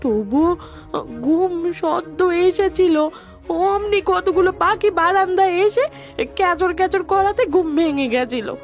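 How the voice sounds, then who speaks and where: A woman acts as a distraught village wife in an animated story. Her high voice is tearful and guilty, with halting, drawn-out pleading. Music plays clearly behind her. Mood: anguished. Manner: tearful, drawn-out, stammering repetitions. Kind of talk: dramatized animated melodrama.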